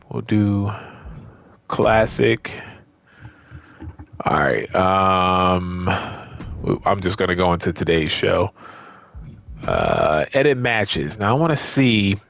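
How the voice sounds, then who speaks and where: A man's voice: fairly low pitch, creaky, a bit bright, dry recording. A man giving casual, off-the-cuff commentary on a video game, emphatic and repeating words for stress. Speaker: gaming video creator.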